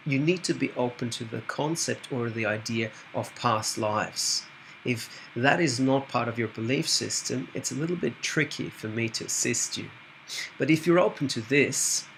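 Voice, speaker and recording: A middle-aged man from Switzerland introducing his work as a spiritual medium in a calm, measured way with occasional hesitations. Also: mid-range voice, creaky, a little hiss or hum, low-quality recording. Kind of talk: direct-to-camera self-introduction.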